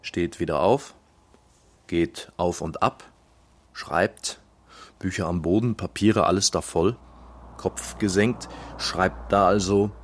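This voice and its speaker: An observer in a radio play. His fairly low voice is slow and repetitive, setting out small actions in short staccato pieces. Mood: observing. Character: observer tersely reporting a writer's every movement.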